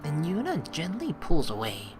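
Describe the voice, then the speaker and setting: Low-voiced, expressive woman narrating a game. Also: moderate pace. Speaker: let's play host. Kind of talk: expressive read-aloud narration of a visual novel. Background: game music.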